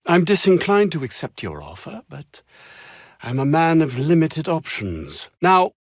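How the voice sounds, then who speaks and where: A downtrodden video game scientist with a fairly high, husky voice. His pitch swings very widely as he delivers formal, wordy lines in a haughty yet anxious, self-pitying manner. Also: wildly swooping pitch, a little slow, no echo, studio quality, heavily acted. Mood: reluctant. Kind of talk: video game character dialogue.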